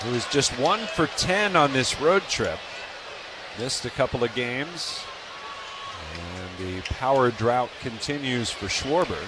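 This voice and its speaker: A man's voice: medium pitch, expressive, takes it slowly. An American man calling a baseball game live on the radio as the play-by-play announcer. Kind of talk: live sports commentary. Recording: background stadium noise on radio broadcast.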